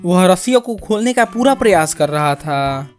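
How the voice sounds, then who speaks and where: A woman's voice: lowish voice, expressive, normal speed, faint music behind. A woman reading scripted narration for a cartoon story.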